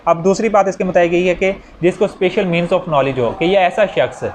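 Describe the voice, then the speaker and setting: Male law lecturer, Pakistani accent, slightly high pitch, explanatory with English legal terms, faintly noisy recording. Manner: switches into English legal terms, reading statutes slowly. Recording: clear background noise.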